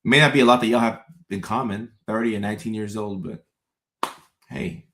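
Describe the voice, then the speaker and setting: A man in his thirties from Ghana, hosting a relaxed livestream and chatting with viewers, often repeating his phrases. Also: fairly low pitch, brisk, noisy background. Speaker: host. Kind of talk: casual livestream chat.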